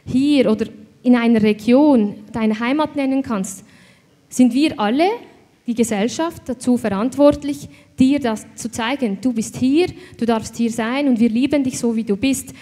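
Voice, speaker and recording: A woman's voice: sits high, darkish tone. A woman from Switzerland with a Swiss German accent, speaking reflectively on a panel with many hesitations. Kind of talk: panel discussion. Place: event hall. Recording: hall reverb.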